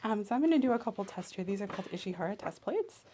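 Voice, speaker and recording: Woman, mellow soft voice, ASMR examiner, explanatory, drifts into whispers, noisy recording with clicks. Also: mid-range voice, animated, racing. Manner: soft, explanatory clinical talk, often dropping to a whisper. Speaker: examiner in a medical exam role-play. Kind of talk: soft-spoken ASMR role-play.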